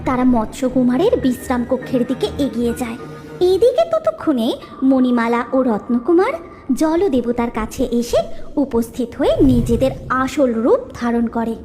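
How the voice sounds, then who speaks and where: Lively high-voiced woman storyteller. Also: normal speed. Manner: lively, sing-song storytelling. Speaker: narrator. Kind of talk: storytelling narration. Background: background music.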